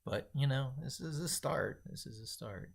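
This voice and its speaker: A man hosting a casual tech livestream, thinking aloud in a rambling, emphatic way with a gravelly, husky voice. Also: medium pitch, expressive, normal speed. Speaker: livestream host.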